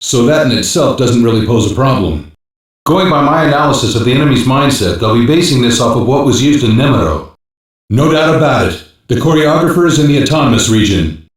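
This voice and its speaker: A man's voice: medium pitch, expressive, brisk, close to an everyday voice. An actor playing a male intelligence hacker on a cyber-police team, giving matter-of-fact, clipped technical briefings as scripted video game lines. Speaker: voice actor in an English dub. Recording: very echoey, distant-sounding game audio capture.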